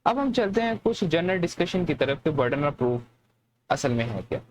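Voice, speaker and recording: A man's voice: fairly high pitch, slight background noise, rough recording. A man with a Pakistani accent giving an explanatory law lecture, repeating and rephrasing his points in a didactic way. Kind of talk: explanatory lecture, reading clauses then explaining.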